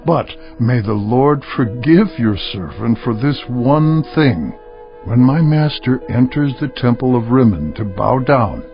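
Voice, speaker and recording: An actor playing a proud, earnest army commander in a dramatized scripture reading, speaking in a declamatory way. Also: male voice, mid-range voice. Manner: declamatory, emphatic. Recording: dull lo-fi, music underneath.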